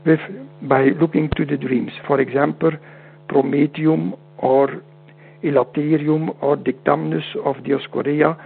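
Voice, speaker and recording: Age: unknown. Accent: Dutch. Sex: man. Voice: halting, raspy with fry, over a tinny line with hum. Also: on the high side. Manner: halting, repeats words, non-native phrasing. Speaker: guest homeopath. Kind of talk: interview over a call.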